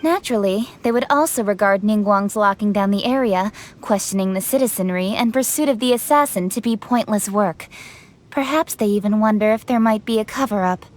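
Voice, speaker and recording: An actress voicing a brisk, direct young noblewoman official in a video game. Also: mid-range voice, lightly acted. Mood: stern. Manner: crisp, direct, a little sharp.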